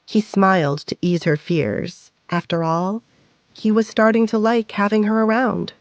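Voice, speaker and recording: A woman's voice: medium pitch, steady tone, unhurried, no echo, highs cut off. A woman narrating a scripted audio drama as a storyteller.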